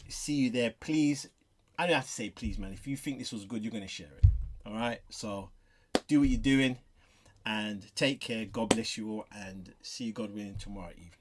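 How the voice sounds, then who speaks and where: A man from Southeast London with a London accent, hosting a faith interview in a playful, laughing, animated way. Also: lowish voice, noisy background. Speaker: host. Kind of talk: interview host, casual chat.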